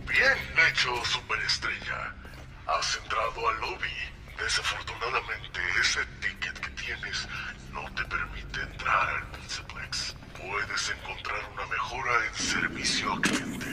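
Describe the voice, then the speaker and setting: Guy doing a protective robot bear, reassuring and measured, kinda distant with loud music over it. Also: fairly low pitch, very animated, whispered. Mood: helpful. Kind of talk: fan dub acting for animated game series.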